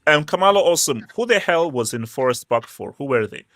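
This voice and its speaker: A man's voice: on the high side, animated, fairly quick, a bit bright, no echo, clean studio sound. A man hosting a casual online football stream, reading viewer comments aloud and defending his club. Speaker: host reading chat messages. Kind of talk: casual live stream chat.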